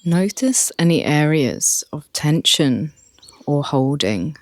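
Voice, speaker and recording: A meditation guide speaking calmly and softly, leading a relaxation session with long pauses. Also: mid-range voice, no echo. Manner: calm, soft, with long pauses.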